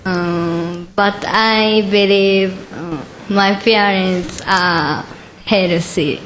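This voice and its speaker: Very slow, halting Japanese teenage girl. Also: mid-range voice, fairly even intonation, fairly bright tone, low-quality recording. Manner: very hesitant, broken English with long pauses. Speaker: exchange student. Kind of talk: halting self-introduction. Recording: faint background noise, room ambience.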